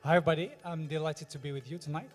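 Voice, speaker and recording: A man with a French accent, a native French speaker, giving a pitch speech on stage and pausing to search for his English words. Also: steady tone, in a large hall, faint music behind. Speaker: fintech founder.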